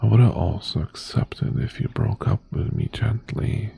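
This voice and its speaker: A man playing an obsessive, possessive mafia boss ex-boyfriend in an intimate ASMR roleplay, half-whispering in a breathy, gravelly voice with teasing menace and long pauses. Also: lowish voice, dark tone, heavily acted. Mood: possessive, reproachful.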